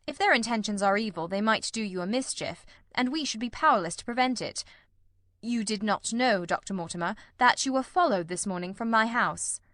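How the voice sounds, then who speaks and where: Storybook male detective played by a woman: shrewd, commanding, formal, medium pitch, dry recording. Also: animated, fairly bright tone, no room sound, lightly acted. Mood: thoughtful, grave. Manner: measured, formal, authoritative. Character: shrewd, composed gentleman detective, commanding and analytical.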